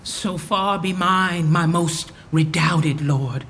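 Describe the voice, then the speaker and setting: A man's voice: fairly high pitch, slow, a bit bright, slight background noise. A man playing an ambitious lord in a Shakespeare radio play, speaking formally and deferentially. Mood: courteous. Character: ambitious male usurper lord, outwardly courteous.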